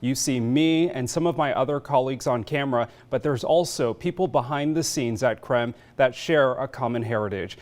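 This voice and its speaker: Man, news anchor, scripted narration, earnest, mid pitch. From Vietnamese and Chinese American. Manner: earnest, measured delivery.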